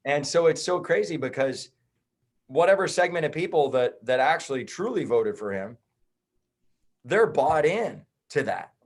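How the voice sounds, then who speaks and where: A man's voice: fairly high pitch, lively intonation, measured pace. A man in his thirties, a chiropractor guesting on a podcast, talking bluntly and heatedly in casual chat. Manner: blunt, heated, swears and says bro.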